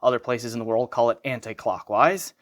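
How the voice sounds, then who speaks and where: A man's voice: mid-range voice, a little fast. An American man giving a casual hands-on product review, rambling a little and correcting himself as he goes. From United States.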